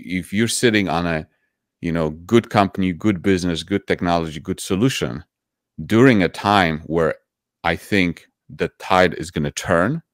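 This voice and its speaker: Emphatic low-voiced man on a panel. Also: no echo, clean studio sound. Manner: emphatic, persuasive, repeats for effect. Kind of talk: panel discussion. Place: home room, video call. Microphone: headset.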